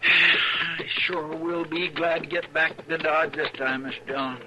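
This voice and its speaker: A man's voice: fairly high pitch, level tone, a little slow, natural voice. An actor playing a folksy, eager male deputy sidekick in a 1950s radio western. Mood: chatty. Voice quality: folksy twang. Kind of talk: 1950s radio drama acting. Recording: clear hiss of old radio transcription.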